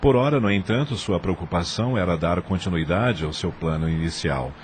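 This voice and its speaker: A man's voice: low voice, a little fast, a little hiss or hum. A man reading scripted narration for a dramatized audio novel.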